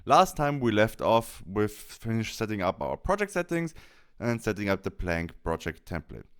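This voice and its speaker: A man with a fairly low, smooth voice narrates a software tutorial. His intonation moves up and down a great deal as he explains each step. The delivery is informal and conversational, like a presenter walking a learner through a task. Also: sing-song, normal speed.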